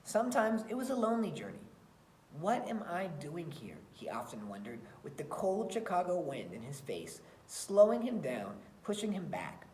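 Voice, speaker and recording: Echoey, expressive woman reading a picture book. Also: fairly low pitch, normal speed, a little hiss or hum. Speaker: read-aloud reader. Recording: roomy, echoey sound.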